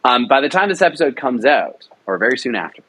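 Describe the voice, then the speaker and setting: A man's voice: mid-range voice, sing-song, fast talker, fairly bright tone. A young man, a writer and director, talking about his audio drama production in an enthusiastic, rambling way with many fillers. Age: young adult. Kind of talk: casual interview talk.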